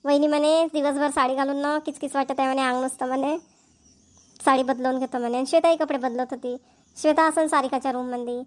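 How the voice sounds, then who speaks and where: A woman's voice: high voice, steady tone, fast talker, close to an everyday voice. A woman voicing a talkative, homely village housewife in a cartoon, with a rural Varhadi accent and a folksy, emphatic way of explaining things. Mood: chatty, explaining. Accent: rural Maharashtrian, Varhadi. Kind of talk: Marathi village family cartoon dialogue.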